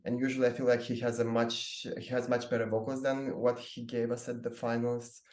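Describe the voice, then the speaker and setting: A man from Moldova, a native Romanian speaker, talks casually on a video call panel. He hesitates and fills gaps with ums. His voice sits at a middle pitch and carries a roomy echo. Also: little pitch movement, a little fast, darkish tone. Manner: hesitant, many fillers.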